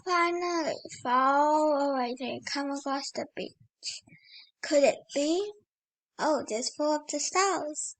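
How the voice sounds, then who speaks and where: High-pitched child reading a picture book aloud. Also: takes it slowly, a bit bright, poor audio. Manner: exclaiming, childlike wonder at pictures. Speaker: read-aloud host.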